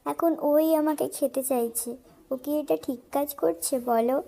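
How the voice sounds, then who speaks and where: A woman's voice: high-pitched, unhurried, creaky, mellow. A woman voicing a kindly girl who pleads and coaxes in a children's cartoon fable. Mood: pleading, coaxing. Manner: pleading, coaxing. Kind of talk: children's animated fable dialogue.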